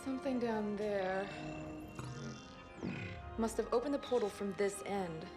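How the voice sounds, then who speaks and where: A woman's voice: mid-range voice, unhurried, lightly acted. The intent, businesslike woman scientist on a starship bridge in a game cutscene acted by a woman, music playing behind her briefing. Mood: urgent, intrigued. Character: brisk, driven female scientist aboard a military starship. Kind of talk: scripted sci-fi drama.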